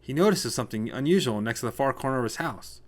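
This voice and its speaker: A man's voice: medium pitch, expressive, quick pace. A man narrating a scripted short story as a storyteller.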